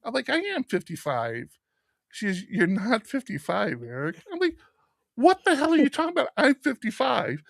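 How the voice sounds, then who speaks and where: A man's voice: very high-pitched, normal speed, vocal fry, dry recording, clean studio sound. A man in his fifties co-hosting a podcast, telling stories in casual chat and acting out the voices of people he quotes. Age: 50s. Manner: animated storyteller, acts out quoted voices. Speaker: podcast co-host.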